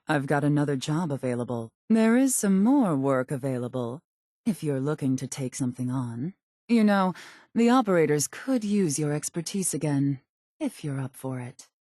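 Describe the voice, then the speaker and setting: A woman's voice: deep voice, mellow, no echo, clean studio sound. A woman playing a cool, calculating raider gang boss in a video game, speaking in a clipped, haughty, businesslike way. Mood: dry, appraising. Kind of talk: scripted video game character dialogue.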